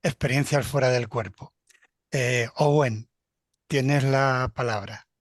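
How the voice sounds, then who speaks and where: A man hosts the online talk, handing over the floor in a calm, measured way. His voice sits in a middle range. The sound is dry and close. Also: medium pitch, no echo. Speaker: host, moderator.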